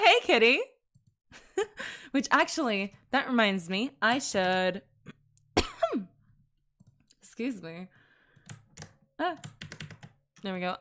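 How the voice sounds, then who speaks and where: A woman's voice: on the high side, a bit bright, slight background noise. A woman streaming casually to her chat, giggling often and correcting herself mid-sentence. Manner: giggly, chatty, laughs often between sentences. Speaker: VTuber streamer.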